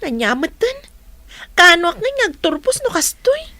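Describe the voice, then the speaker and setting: A woman's voice: very high voice, big pitch swings, normal speed, pushed and bright, no room sound, full character voice. A woman with an Ilocano accent acting a village family role in a radio comedy drama. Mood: frustrated.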